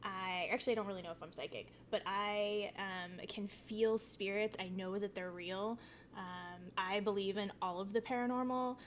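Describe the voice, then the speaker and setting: A woman's voice: medium pitch, fairly even intonation, normal speed, a little hiss or hum. A woman playing in a tabletop game, introducing herself in a bubbly, offhand way. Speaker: player, regular of the channel. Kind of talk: casual in-character introduction.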